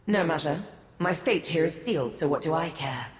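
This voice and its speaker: A woman's voice: deep voice, lively intonation, moderate pace. A woman playing a proud, bitter Daedric prince in a fantasy video game, speaking solemnly and formally with an imperious manner. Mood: resentful. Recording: roomy echo with long reverb, music behind.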